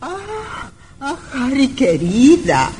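An actress playing a solicitous, scheming elderly woman in an old radio drama, measured and coaxing. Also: sits high, huge pitch swings, darkish tone, full character voice. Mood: politely deceptive. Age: older character.

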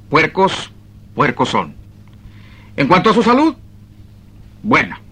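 An actor playing an exasperated, plain-spoken small-town freight agent in a comic radio drama, halting and muttering as he dictates. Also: on the high side, bright, forceful tone, full character voice. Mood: deliberate, bewildered. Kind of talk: 1970s-80s comic radio drama acting.

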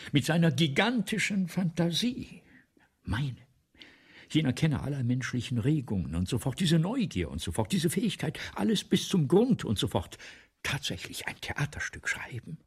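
An actor playing a vain, excitable playwright in a German radio play, with a pompous, theatrical, lecturing manner. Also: male voice, a little high, a little slow, slightly rough, full character voice. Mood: mock-grand reading. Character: vain, excitable, self-dramatizing 19th-century male playwright.

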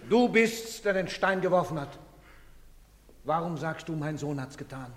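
A man's voice: fairly high pitch, animated, a little slow. An actor playing a bitter father accusing the townspeople in a radio play. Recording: long reverb.

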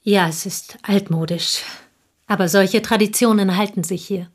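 An actress in a German radio drama playing a reserved village schoolteacher and confidante, speaking in a restrained, measured, factual way. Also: female voice, medium pitch, steady tone, lightly acted. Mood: composed, guarded.

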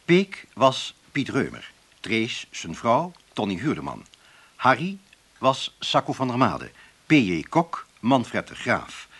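A man's voice: mid-range voice, sing-song, slow, fairly bright tone, husky. A man reading scripted closing credits as a radio announcer.

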